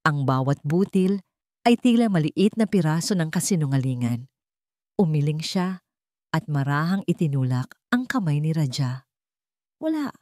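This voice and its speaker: A woman with a Filipino accent reading a scripted love story in a measured, dramatic way, in short phrases. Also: low voice, lively intonation, a little mellow, dry recording, clean studio sound.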